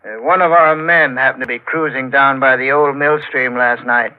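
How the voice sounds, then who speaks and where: An actor playing a folksy, unhurried state trooper in a 1940s radio drama style. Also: male voice, mid-range voice, fairly even intonation, natural voice. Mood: folksy, reassuring. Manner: folksy, drawn-out questioning. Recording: tinny, narrow-band old radio broadcast.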